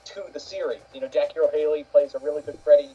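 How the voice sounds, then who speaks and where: A man co-hosting a casual chat in a loose, slightly halting way, on a muffled recording. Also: on the high side, fairly even intonation, mellow, slightly rough. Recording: dull, muffled lo-fi audio.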